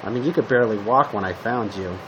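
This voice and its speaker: A man improvising a casual roleplay monologue as a calm zombie apocalypse survivor, talking to the listener in short, hesitant fragments. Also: fairly low pitch, quick pace, noisy background. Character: calm male zombie apocalypse survivor giving weapon tips. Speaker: roleplay video creator playing a zombie survivor.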